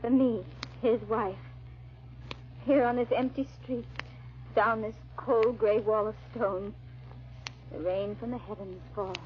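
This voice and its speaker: A dull, band-limited old radio drama recording carries a high female voice. It is a hunted noblewoman, fearful and grieving, with halting, melodramatic delivery. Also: steady tone. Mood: solemn, sorrowful. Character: frightened, grieving noblewoman hunted by her murderous husband. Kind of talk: old-time radio drama acting and narration.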